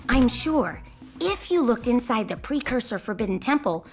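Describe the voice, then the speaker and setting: A no-nonsense young mechanic speaks briskly in a slightly high voice. Faint game music hums behind. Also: female voice, sing-song. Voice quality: brisk, matter-of-fact. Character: brisk young female mechanic, sharp-tongued. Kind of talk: video game cutscene acting.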